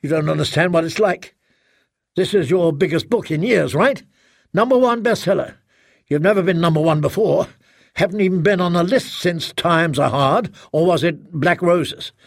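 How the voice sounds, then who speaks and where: A man's voice: a little high, normal speed, creaky, no room sound, studio quality. A man voicing an anguished young adult daughter, strained and accusing, in an audiobook reading. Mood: bitter, furious. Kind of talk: audiobook character acting.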